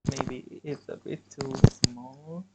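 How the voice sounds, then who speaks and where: A man giving casual livestream commentary on a game, with halting, hesitant phrasing. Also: mid-range voice, steady tone, heavy background noise. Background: game music.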